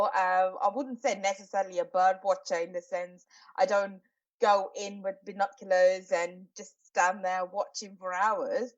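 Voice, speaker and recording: Distant lo-fi woman from Sri Lanka. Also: medium pitch. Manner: halting, many fillers and restarts, laughs often. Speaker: podcast guest. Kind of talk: casual interview talk.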